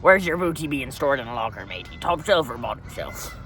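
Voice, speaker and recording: A man's voice: medium pitch, very animated, brisk, over music. A man voicing a crude, swaggering, unwashed pirate in a comic monologue, rambling offhand and calling everyone "matey" in pirate slang.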